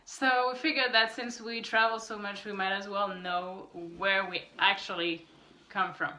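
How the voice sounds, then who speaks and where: A young woman from France co-hosting a couple's vlog, explaining her results in a calm, measured way. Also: mid-range voice, recorded from a distance. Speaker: vlog co-host. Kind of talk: casual chat. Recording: echoey room, off-mic.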